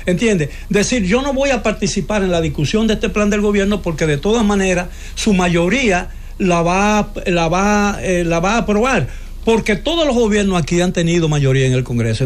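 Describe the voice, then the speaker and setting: A man debating politics on a talk show, hesitating with many filler sounds and restarts, often asking for agreement. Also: on the high side. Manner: opinionated, asks listener for agreement.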